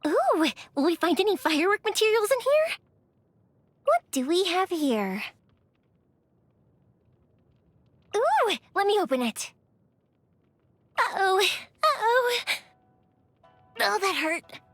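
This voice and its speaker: A woman playing a cheerful, energetic young fireworks maker in a video game, bubbly, playful and expressive in her delivery. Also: extremely high pitch, a little slow, pauses often, dry recording, exaggerated voice. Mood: excited, bold, then pained, urgent. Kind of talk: animated video game character voice lines. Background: music.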